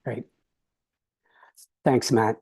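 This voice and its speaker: A man who is losing his voice speaks as a professor and regional officer on a video call, halting often with "uh" and restarts. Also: medium pitch, steady tone, mellow, husky, no room sound. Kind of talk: unscripted remarks on a video call.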